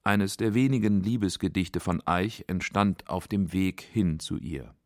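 A man's voice: deep voice, a little slow, a little mellow, dry recording, studio quality. A man reading scripted narration for a radio literary documentary.

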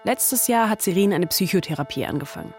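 A woman's voice: on the low side, animated, brisk, darkish tone. Standard German woman narrating over music. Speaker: podcast host and narrator. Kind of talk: scripted podcast narration.

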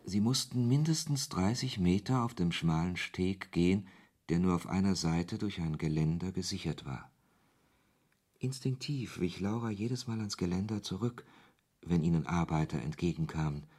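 A man's voice: deep voice, animated, normal speed, darkish tone. A man reading scripted literary narration as the narrator of a radio play. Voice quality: husky.

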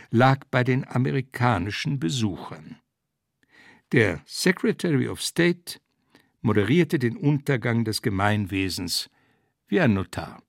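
A man narrating literary prose in a deliberate, emphatic way, breaking sentences into short phrases. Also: mid-range voice, gravelly, dry recording, studio quality.

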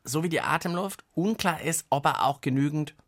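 A man's voice: a little high, a little fast. A man hosting a children's science podcast, chatting in a playful, mock-earnest way. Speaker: podcast host. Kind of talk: light-hearted children's science podcast chat.

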